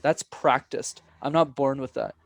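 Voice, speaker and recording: A young man being interviewed as a public speaking coach, speaking with clear, deliberate enunciation in a coaching tone. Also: medium pitch, dry recording. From Canada. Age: young adult. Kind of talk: podcast interview.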